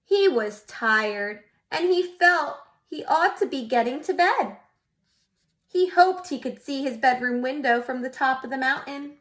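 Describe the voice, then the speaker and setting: A woman teacher reads a storybook aloud to little children in a high, bright voice. Her pitch swings up and down with lively expression, and she stops to ask the kids gentle questions. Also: unhurried. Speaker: preschool teacher. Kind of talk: reading a picture book aloud to young children.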